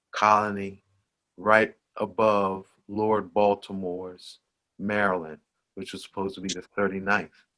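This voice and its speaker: A man hosting a conversation, walking through local history in an unhurried way, with frequent fillers. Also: lowish voice, sharp tone. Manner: unhurried, with many fillers like "you know" and "uh". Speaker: host. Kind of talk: slow conversational history talk.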